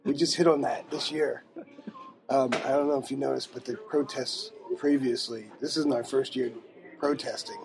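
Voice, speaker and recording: A man voicing a soft-spoken male protester with a hushed, deadpan delivery, recorded in a restaurant. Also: normal speed, vocal fry, a crowd in the background, close to an everyday voice, rough recording. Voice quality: hushed, low and quiet. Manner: deadpan and understated.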